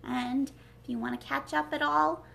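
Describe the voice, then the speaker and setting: A woman's voice: high-pitched, fairly even intonation, normal speed, gravelly, sharp tone. A young adult woman hosting a children's read-aloud, reading a story indoors at a little distance from the mic. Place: small living room, indoors. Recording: faint noise, home livestream.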